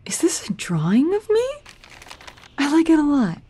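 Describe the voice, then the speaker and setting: A woman's voice: high voice, wildly swooping pitch, normal speed. Woman playing an earnest schoolgirl, noisy recording. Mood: warm, shy. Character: defiant, sensitive schoolgirl, new in town. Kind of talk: audio drama acting.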